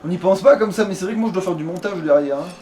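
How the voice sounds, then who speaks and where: A man's voice: high-pitched, rapid-fire, warm tone, soft background music. A man hosting a video game let's play, chatting casually in a rambling way with crude jokes. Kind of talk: casual gaming chat.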